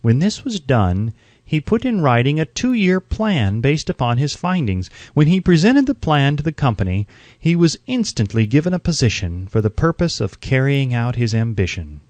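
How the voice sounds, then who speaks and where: A man reading an audiobook from a script in a measured, formal, earnest way, close to the microphone. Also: mid-range voice, sing-song, darkish tone, no room sound. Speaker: audiobook narrator.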